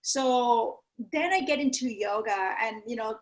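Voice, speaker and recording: A woman's voice: a little high, measured pace, rough recording. Thai-accented woman, earnest yoga teacher. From Thailand. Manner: earnest, often adds "you know" and "right?". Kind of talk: casual interview chat.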